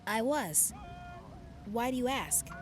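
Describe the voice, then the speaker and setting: An actress playing a curious young woman soldier in an audio drama, asking quick, friendly questions. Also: fairly high pitch, expressive. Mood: puzzled.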